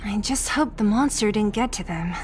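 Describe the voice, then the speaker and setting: A woman's voice: medium pitch, normal speed, faint music behind, natural voice. A woman voicing a brash, scrappy young underground fighter in a video game, with a blunt, casual, cocky manner. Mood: breezy, confident.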